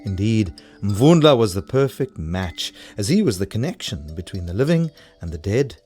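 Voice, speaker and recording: A man's voice: on the low side, sing-song, moderate pace, a little mellow, husky, soft background music. A man with a South African accent narrating an African folktale for children as a storyteller. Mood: storytelling.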